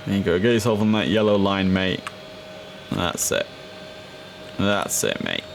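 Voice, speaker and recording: Young guy rambling through a game, lowish voice, lots of ums, bit of faint hiss behind. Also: unhurried, gravelly. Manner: chatty, relaxed, with frequent fillers. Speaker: gaming streamer. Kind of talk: casual gameplay commentary.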